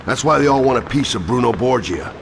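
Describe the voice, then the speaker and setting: A gravelly, swaggering gangster boss. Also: male voice, medium pitch, lively intonation, brisk, background noise. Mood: boastful, menacing. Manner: boastful, theatrical. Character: swaggering, ruthless, profane American gangster boss.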